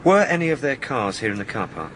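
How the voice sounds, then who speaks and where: An actor playing a respectful but dogged police sergeant in a radio drama, gently challenging his superior. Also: mid-range voice, sing-song, a little fast, slight background noise. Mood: questioning.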